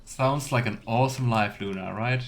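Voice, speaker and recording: A male streamer talks casually through a video game in a mid-pitched voice. Faint game ambience runs behind him as he comments and reads aloud. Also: moderate pace, slight background noise. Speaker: streamer and let's player.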